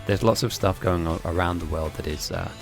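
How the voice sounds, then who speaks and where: A chatty man with an English accent who grew up speaking German and Italian talks loosely and laughs often while he streams a game. His voice sits low and has a creaky, gravelly edge. Soft music plays faintly underneath. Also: brisk. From grew up in Germany and Italy. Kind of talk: casual chat while gaming, reading viewer messages.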